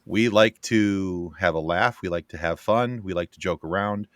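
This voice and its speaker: Deep voiced guy, joking podcast chat, really dry close sound. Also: normal speed, fairly bright tone, no echo. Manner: joking, bantering. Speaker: podcast co-host appearing as guest. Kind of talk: casual chat.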